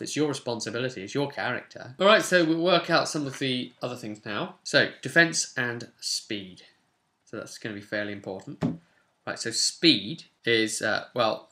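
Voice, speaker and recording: Male, twenties, tutorial host. Forceful, bright tone, medium pitch, conversational and instructive. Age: 20s. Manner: conversational, instructive, thinking aloud while working through steps. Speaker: tabletop roleplaying tutorial host. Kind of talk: informal explanatory tutorial, talking to camera. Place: small home room, indoors.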